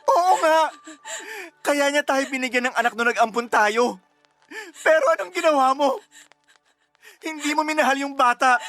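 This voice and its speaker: A man's voice: very high-pitched, moderate pace, long pauses, bright, forceful tone, faint music behind, exaggerated voice. A man playing an anguished, blaming husband who grieves his children in an emotional, tearful radio drama. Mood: accusing. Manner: tearful, accusatory, pleading.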